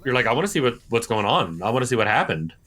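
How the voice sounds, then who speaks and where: A man's voice: mid-range voice, very animated, rapid-fire, fairly bright tone. A man in his thirties co-hosting a podcast, explaining things in casual chat with hesitant, restarted phrases. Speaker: podcast co-host.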